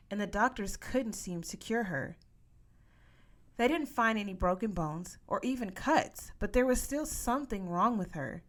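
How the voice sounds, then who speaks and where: A woman reading a children's novel aloud as an expressive audiobook narrator. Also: mid-range voice. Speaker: audiobook reader.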